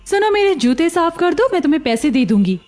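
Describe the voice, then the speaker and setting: A woman's voice: very high-pitched, sing-song, fairly quick, a little mellow. A woman voicing a haughty, cruel rich young woman in a cartoon story. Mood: scornful.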